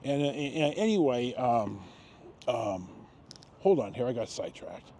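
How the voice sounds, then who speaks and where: Older american guy in his 60s telling life stories, mellow voice, rambly with big pauses, bit of background noise. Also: medium pitch, big pitch swings. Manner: halting, rambling, with long pauses and self-corrections. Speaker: video storyteller. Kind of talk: casual autobiographical storytelling.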